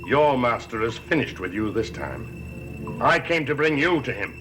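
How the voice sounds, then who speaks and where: A man's voice: medium pitch, very animated, close to an everyday voice. Man acting menacing gothic villain, swooping intonation, theatrical, old TV soundtrack, music behind. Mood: cold, accusing. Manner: deliberate, theatrical, accusing. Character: menacing imperious man, emissary of a dark master. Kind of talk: gothic TV soap opera acting.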